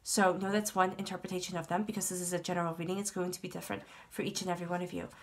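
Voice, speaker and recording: A woman's voice: on the low side, little pitch movement, rapid-fire. A woman reading tarot for her channel, congested with a cold, talking in a soothing, reassuring way and often repeating herself. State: stuffed up from a cold. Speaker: tarot reader. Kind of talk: tarot reading monologue to camera.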